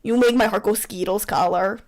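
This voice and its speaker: A woman's voice: mid-range voice, a little fast, darkish tone. A woman reading fan fiction aloud with playful banter, theatrical, bossy and giggly, on a poor amateur microphone. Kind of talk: playful read-aloud mixed with casual banter.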